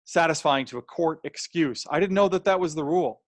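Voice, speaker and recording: A man's voice: fairly high pitch, a little fast, no echo, studio quality. An American man presenting a webinar in plain, conversational language, voicing imagined quotes as he explains, with a slightly strained voice. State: slightly strained, throat-clearing voice. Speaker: webinar presenter on housing law.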